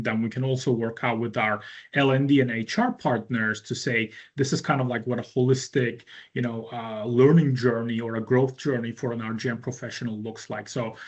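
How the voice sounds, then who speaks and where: A middle-aged man from Turkey, a panelist who speaks in a measured way with frequent fillers. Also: mid-range voice. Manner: measured, uses um and you know. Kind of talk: panel discussion.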